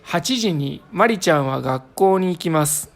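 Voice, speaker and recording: A woman's voice: fairly low pitch, animated, extremely slow. A woman who is a native Japanese speaker, reading a short comprehension text aloud with care for learners. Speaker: reader of lesson passages.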